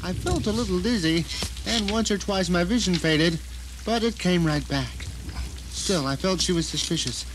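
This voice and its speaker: High male voice, man playing self-satisfied trapped writer, dramatic first-person storytelling, radio play, noisy recording. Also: normal speed, natural voice. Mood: smug, cunning. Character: trapped male novelist, scheming, self-satisfied, grimly narrating his ordeal.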